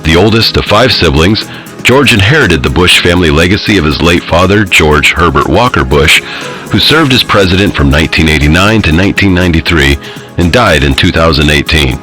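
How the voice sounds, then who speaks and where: An actor playing a formal morning news anchor in an audio drama, reading a bulletin in a polished, measured way. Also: male voice, low voice, lively intonation, pushed and bright, rough recording. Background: music.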